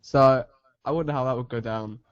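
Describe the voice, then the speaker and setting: A man hosting a casual podcast chat over an online group call, animated and quick to push the talk along. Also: no room sound. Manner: animated, lively pitch swings. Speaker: podcast host. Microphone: computer mic on an online group call. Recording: dull lo-fi online call audio.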